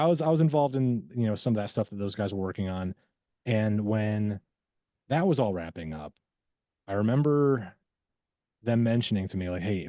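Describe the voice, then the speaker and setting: A man who works as a voice actor, talking casually as a podcast guest, reflective and halting, often repeating words as he finds his way. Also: deep voice, dark tone, dry recording.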